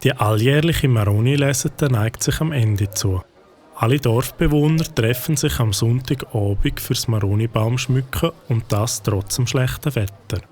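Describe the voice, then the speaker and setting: Man, Swiss German accent, storybook narrator, calm even delivery. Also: medium pitch, normal speed.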